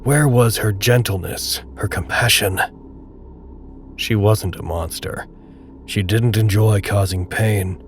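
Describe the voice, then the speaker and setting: A man narrating a dark audio drama in a measured, literary, grave and detached manner. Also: lowish voice, slight background noise. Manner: measured, literary, solemn storytelling.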